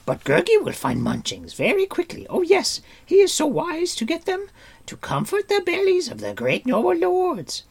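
A man's voice: extremely high pitch, wildly swooping pitch, normal speed, creaky, voice pushed up, exaggerated voice. A man voicing a hungry, fawning hairy forest creature who whines and wheedles. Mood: whining. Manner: wheedling, talks of himself by name.

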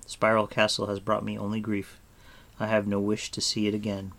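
A man voicing an earnest, stern young hero in an audiobook, firm, serious and quick to scold. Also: on the low side, completely flat delivery, creaky, a bit bright. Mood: bitter. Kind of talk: audiobook character voice.

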